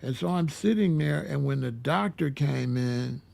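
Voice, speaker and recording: A man in his sixties telling a personal story in a casual, rambling way, repeating himself and filling pauses with "uh". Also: mid-range voice, gravelly, a little mellow. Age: 60s. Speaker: vlogger.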